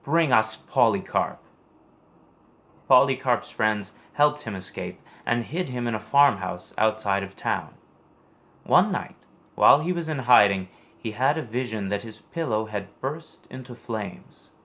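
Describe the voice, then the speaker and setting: A man reading a scripted story aloud for children in an expressive storytelling manner. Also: lowish voice, a little slow.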